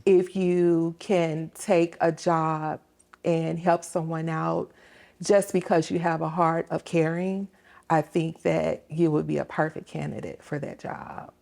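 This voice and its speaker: Woman, lower pitch, gravelly fry, conversational interview guest, explanatory, thinking pauses. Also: flat delivery, darkish tone. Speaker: police dispatcher, interview guest.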